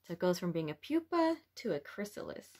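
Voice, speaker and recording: A woman's voice: on the low side, sing-song, fairly quick, darkish tone, a little hiss or hum. A young woman speaking to children as an educator during a read-aloud, recorded indoors at home on a device at arm's length. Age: young adult. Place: small room at home, indoors.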